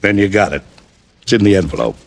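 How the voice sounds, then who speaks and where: A man's voice: sits low, animated, quick pace. An actor playing a reformed old miser businessman, giddy and slangy, in a 1950s radio drama. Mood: teasing, generous. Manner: exclamatory, slangy. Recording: old radio broadcast, music underneath.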